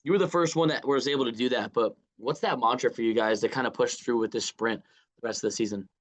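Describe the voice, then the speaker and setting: A man's voice: medium pitch, fast. A man with a middle-range voice interviews as a sports reporter. His questions are chatty and informal, wandering a little before they land. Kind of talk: casual, conversational interview questions.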